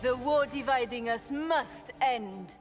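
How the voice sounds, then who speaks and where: High-voiced, stately empress. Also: female voice, takes it slowly, over music. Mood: solemn, hopeful. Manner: solemn, stately oratory. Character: regal, earnest empress addressing her people. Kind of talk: fantasy video game acting, formal speech. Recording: long hall reverb.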